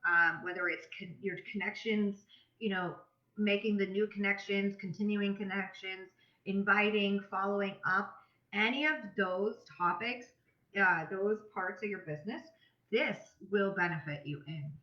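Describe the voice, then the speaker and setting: In a roomy, slightly muffled live-stream recording, a woman chats informally to her followers. Her pitch sits in the middle range for a woman, and she speaks emphatically, with plenty of slang, like a coach addressing her team. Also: fairly even intonation, unhurried. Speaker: health and fitness business coach hosting a live stream.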